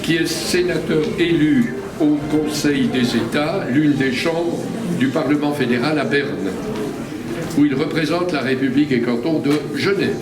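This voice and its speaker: A man reading a formal, measured introduction of a guest speaker at a conference. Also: on the high side, crowd noise. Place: large conference hall. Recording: distant mic in an echoey hall with audible ambience.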